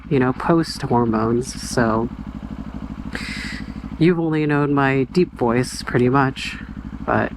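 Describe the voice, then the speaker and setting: Young guy rambling thoughts out loud while he drives, lots of likes and restarts, car and traffic noise all under it. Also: medium pitch. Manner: rambling, hesitant, full of fillers and restarts; quiet, reflective. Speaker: vlogger. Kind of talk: casual venting while driving. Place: in a car on the road. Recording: road and engine noise behind.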